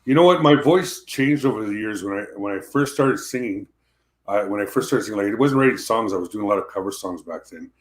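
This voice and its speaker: A man with a deep voice, a country singer talking casually about his music over a video call, often restarting and repeating words. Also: lively intonation, fast talker.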